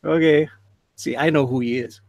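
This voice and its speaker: A man's voice: fairly high pitch, steady tone, normal speed, gravelly, darkish tone, dry recording, clean studio sound. A man chatting playfully on a call. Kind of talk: casual group chat.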